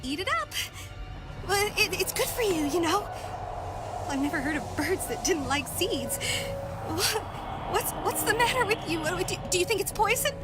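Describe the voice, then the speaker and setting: An unhinged, fretful woman in a video game, extremely high in pitch. She coos and stammers nervously, with very loud music around her. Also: lively intonation, normal speed. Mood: coaxing, anxious. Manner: stammering, cooing, jittery. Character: fretful, deranged woman coaxing birds.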